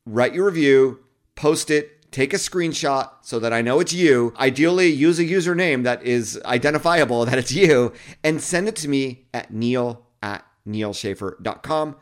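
A male podcast host speaks to his listeners in an enthusiastic, chatty manner. His voice has an ordinary middle pitch. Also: animated, moderate pace. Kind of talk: podcast intro and interview.

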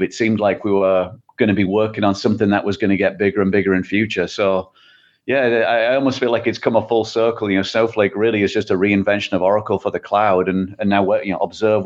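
A middle-aged man from England with an English accent talks as a podcast guest. His voice is fairly low, his delivery halting and conversational, and the recording sounds somewhat dull and lo-fi. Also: fairly even intonation. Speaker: podcast guest, tech chief executive. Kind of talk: conversational interview answers. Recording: dull, muffled lo-fi connection.

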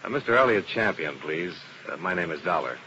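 An actor playing a wry, hard-boiled insurance investigator who also narrates a vintage radio drama in a dry, laconic way. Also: male voice, low voice, animated, fairly quick, sharp tone, full character voice. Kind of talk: 1950s radio drama, first-person narration. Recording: muffled, hissy vintage radio broadcast.

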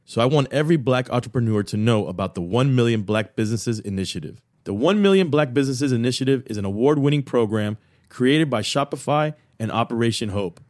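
A man voicing a scripted advertisement in a measured, earnest manner. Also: mid-range voice, animated, darkish tone, no room sound, clean studio sound.

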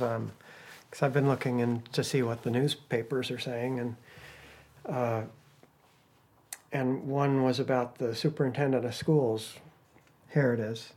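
Even-toned man, informal and halting. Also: mid-range voice, a little hiss or hum. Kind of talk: informal talk, reading out quotes.

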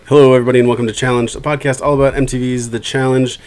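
Fast-talking joking male podcast host. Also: medium pitch, level tone, darkish tone, slight background noise. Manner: joking, self-deprecating, loose with swearing. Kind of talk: casual chat.

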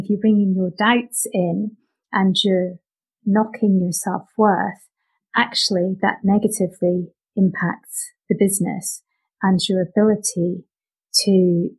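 A woman's voice: mid-range voice, darkish tone, slightly rough, rough recording. A woman hosting an interview, reflecting on the guest's points and thinking aloud with restarts. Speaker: podcast host. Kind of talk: conversational interview.

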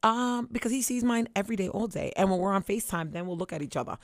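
A woman in her forties co-hosting a casual chat, brash and teasing, laughing loudly. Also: mid-range voice, lively intonation, fast talker, dry recording, clean studio sound. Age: 40s. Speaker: guest co-host.

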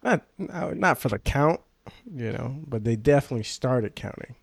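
A man's voice: mid-range voice, lively intonation, moderate pace, creaky, breathy edge, no echo. A man hosting a casual podcast, slangy and laid-back, sounding nasal from a cold. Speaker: podcast host.

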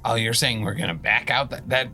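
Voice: medium pitch, steady tone, brisk, over music. A tabletop game player chatting and bantering casually during play.

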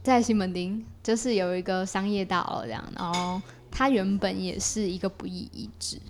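A woman's voice: mid-range voice, fairly even intonation, moderate pace, a little hiss or hum. A woman with a Taiwanese Mandarin accent, a podcast guest explaining her creative work in a casual conversational way. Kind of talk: casual explanatory interview talk.

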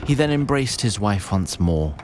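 Actor as a wry, lively fantasy storyteller. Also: male voice, on the low side, normal speed, a little hiss or hum. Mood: wry, formal. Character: wry, chatty male storyteller of a fantasy tale. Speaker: narrator. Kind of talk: scripted fantasy audio drama narration.

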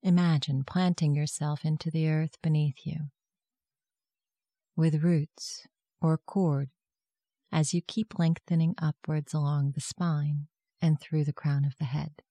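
A meditation guide giving gentle, soft-spoken, measured instructions in a scripted guided meditation. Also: mid-range voice, level tone, no echo.

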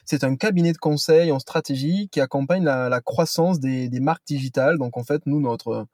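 A man's voice: medium pitch, normal speed, darkish tone, no room sound, clean studio sound. A man in his thirties from Toulouse with a southern French accent, chatting as a guest from a parked car, hesitant with lots of fillers. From Toulouse, southern France. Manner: hesitant, many fillers and restarts. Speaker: guest, company founder. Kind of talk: casual interview chat.

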